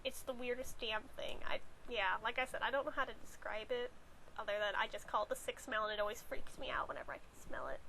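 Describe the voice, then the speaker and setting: Young woman vlogger, high and bright, hoarse and stuffed up from a cold, rambling chatty delivery, faint hiss behind. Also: fast talker, poor audio. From California, USA. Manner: chatty, rambling, wry asides. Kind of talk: casual chat to camera. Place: bedroom.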